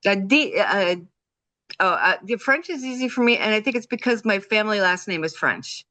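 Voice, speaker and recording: A woman hosting a casual chat, eager and quick to laugh, with frequent hesitations. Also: medium pitch, animated, sharp tone. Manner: enthusiastic, laughing often, many fillers. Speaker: host.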